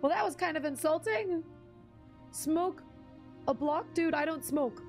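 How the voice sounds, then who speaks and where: A young woman with a husky, slightly high voice chats loosely with her stream viewers. She rambles, trails off and restarts her sentences. Soft game music plays behind her. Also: unhurried. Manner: chatty, rambling, frequent restarts and fillers. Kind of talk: casual live-stream chat reading viewer comments.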